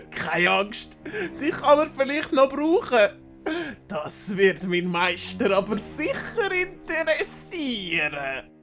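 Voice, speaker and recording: A woman's voice: mid-range voice, sing-song, takes it slowly, faint music behind. A woman voicing a sly, fawning male henchman of a villain in a children's audiobook. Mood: gloating. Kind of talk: dramatised German-language children's audiobook.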